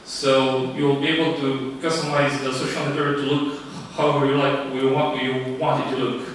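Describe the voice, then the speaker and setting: Guy pitching a startup, lots of ums, pretty monotone, heard from a distance in a super echoey room. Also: a little hiss or hum. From Romania. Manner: halting, many fillers and restarts. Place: event room.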